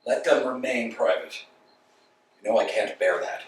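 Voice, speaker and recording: A man's voice: medium pitch, moderate pace, heavy background noise, lightly acted. A man playing a fussy, insistent male tramp in a play reading, recorded from far off in an echoey room. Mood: exasperated. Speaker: actor.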